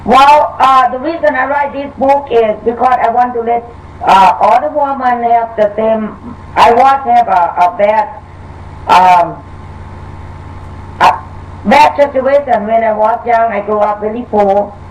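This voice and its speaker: Older Thai-accented woman, halting speech. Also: a little high, recorded from a distance, slight background noise, poor audio. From Thailand. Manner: halting, broken English with many fillers. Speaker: author guest. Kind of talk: interview answers.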